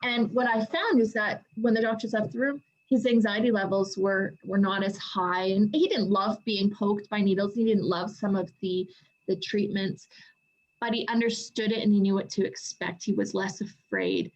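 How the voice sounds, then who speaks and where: A woman's voice: medium pitch, steady tone, normal speed, muffled recording. A woman guest talking casually about her experience, earnest and full of restarts as she tells her story. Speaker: co-author guest. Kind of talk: casual video call chat.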